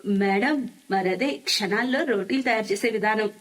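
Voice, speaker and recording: A woman's voice: mid-range voice, moderate pace, lightly acted. A woman voicing a confident, breezy young housewife with a casual, reassuring manner in a moral-story cartoon. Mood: proud.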